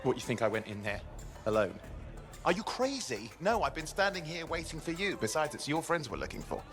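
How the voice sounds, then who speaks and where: An actor playing a sarcastic, wisecracking male sidekick with an animated delivery in a video game cutscene. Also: a little high, fairly quick. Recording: game audio with music score.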